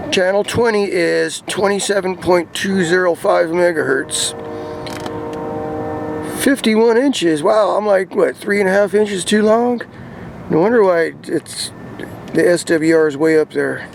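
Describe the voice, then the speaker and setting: A man's voice: sits high, takes it slowly, vocal fry, long pauses, a little hiss or hum. A man giving a casual hands-on vlog demonstration, rambling and thinking aloud, in and around a parked truck. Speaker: vlogger demonstrating CB radio antennas.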